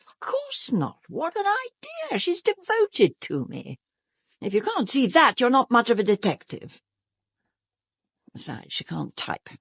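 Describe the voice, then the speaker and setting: A self-absorbed British stage diva in a radio drama. Her voice is husky and swings widely in pitch, recorded close and dry. She is haughty and imperious, with a theatrical, melodramatic delivery that betrays an anxious vanity. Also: huge pitch swings, a little slow, no room sound, full character voice. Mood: defensive, indignant. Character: vain, self-absorbed, fearful stage actress. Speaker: actress in an audio drama.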